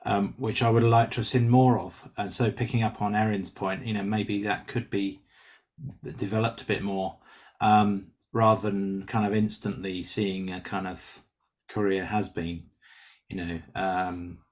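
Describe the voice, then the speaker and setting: A man's voice: low-pitched, little pitch movement, moderate pace. A man, an actor on a reading panel, giving casual spoken feedback in an enthusiastic, encouraging way.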